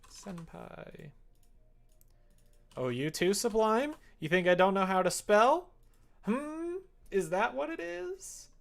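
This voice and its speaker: A man's voice: sits high, expressive, slow, creaky. A man streaming casually as he codes, thinking aloud and muttering to himself in a wry way. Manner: wry, muttering to self while working. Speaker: live streamer developing a visual novel. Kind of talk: casual coding livestream chat, thinking aloud.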